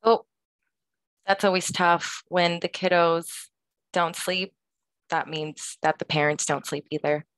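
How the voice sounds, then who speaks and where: Low-voiced woman presenting informally. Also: steady tone, takes it slowly, pauses often, a bit bright, smooth, dry recording. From Los Angeles, California. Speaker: presenter, student organization advisor.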